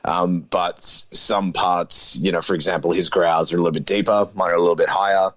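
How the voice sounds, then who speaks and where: A deep, low-voiced man in his thirties, talking as an interview guest. He is laid-back and hedges every point with fillers and "sort of". The sound is dry and close, with no room around it. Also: fairly even intonation, brisk, fairly bright tone, no echo. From Australia. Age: 30s. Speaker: guest musician. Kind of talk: casual interview chat.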